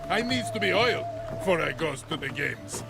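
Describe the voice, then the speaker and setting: A man's voice: on the high side, very animated, moderate pace, creaky, loud music behind the voice. A man playing a boastful, dim, burly Spartan athlete in a video game, talking bluntly in childlike, broken grammar. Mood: happy, simple. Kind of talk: video game character acting.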